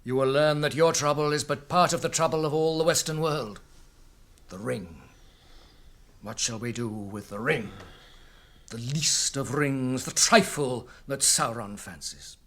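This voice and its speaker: An actor playing a wise, grave elven lord with a measured, authoritative manner in a radio drama. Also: male voice, mid-range voice, fairly bright tone.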